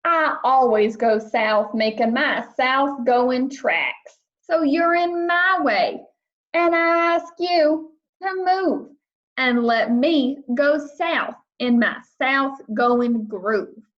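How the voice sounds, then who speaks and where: A woman voicing an obstinate, snappish male storybook creature with defiant, deliberate, drawn-out emphasis. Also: sits high, lo-fi sound.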